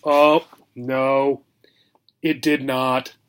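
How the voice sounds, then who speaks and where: A man reading a picture book aloud as a teacher, in simple short phrases, with animated questions for young listeners. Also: mid-range voice, very slow, heavy background noise. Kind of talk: children's read-aloud lesson, asking questions.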